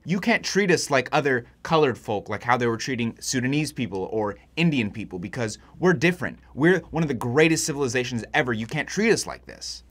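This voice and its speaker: A young man talks through history in a casual, chatty explainer. His voice sits at a middle pitch. He keeps it loose with self-deprecating asides. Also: fairly bright tone. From Egyptian heritage. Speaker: history video creator.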